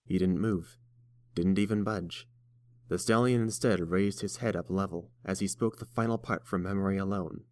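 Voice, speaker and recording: Low-voiced man narrating a story. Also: fairly even intonation, normal speed, darkish tone, dry recording.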